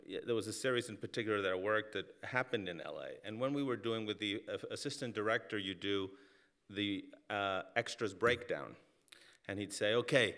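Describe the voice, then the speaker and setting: Reflective man, low voice. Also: fairly bright tone. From Mexico. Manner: reflective, many "you know" fillers and restarts. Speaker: film and TV director on a panel. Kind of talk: panel discussion.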